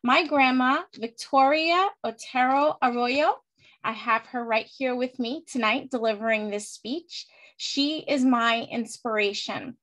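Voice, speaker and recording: A woman's voice: fairly high pitch, unhurried, fairly bright tone, poor audio. A woman of Puerto Rican descent giving an earnest, heartfelt prepared speech as a panelist at a virtual heritage event. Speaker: panelist speaker.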